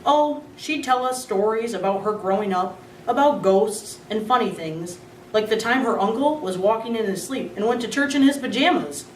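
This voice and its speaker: A woman's voice: fairly high pitch, lively intonation, normal speed, roomy sound, far from the mic, slight background noise, natural voice, low-quality recording. A woman voicing an easygoing father who tells stories in a children's storybook reading. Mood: fond.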